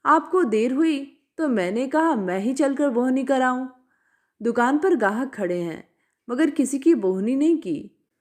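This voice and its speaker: A woman's voice: high-pitched, expressive, unhurried. A woman voicing a pushy, flirtatious female betel-leaf seller from a story, chatty, coaxing and insistent. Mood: cajoling.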